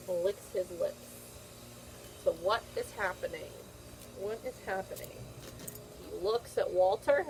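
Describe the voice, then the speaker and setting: A woman's voice: medium pitch, darkish tone, slight background noise, rough recording. A woman teacher reading a picture book aloud to young children, repeating phrases and checking in with simple questions.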